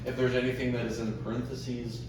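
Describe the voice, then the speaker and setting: A man's voice: mid-range voice, flat delivery, a little fast, noisy background. A young man giving casual instructions to a group as the writer leading a script table read. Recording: echoey room, far mic, group chatter.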